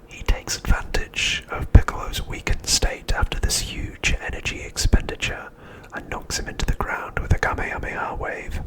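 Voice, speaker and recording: A man speaks entirely in a breathy whisper, with no voiced tone at all. He narrates like an ASMR host recapping a story, keeping everything soft, hushed and unhurried. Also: low-quality recording.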